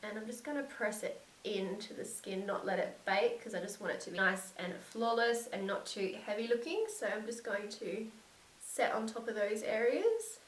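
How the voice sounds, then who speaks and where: A young woman with a slightly croaky voice from a cold, narrating a makeup tutorial and gushing softly about the products. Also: medium pitch, lively intonation, normal speed, distant mic, slight background noise. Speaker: beauty vlogger. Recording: echoey room, set back from mic.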